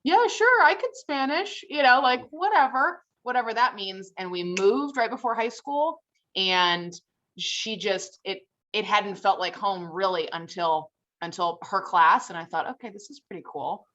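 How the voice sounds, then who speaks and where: A woman from Illinois, a teacher on a panel, telling a story in a heartfelt, animated way. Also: mid-range voice, a little slow, lo-fi sound. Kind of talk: casual panel discussion.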